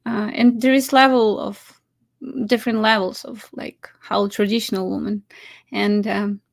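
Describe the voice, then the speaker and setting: A woman's voice: mid-range voice, level tone, measured pace, vocal fry. A woman from Ukraine with a Ukrainian accent, a matchmaker talking casually with many fillers, restarts and laughs. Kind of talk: casual chat.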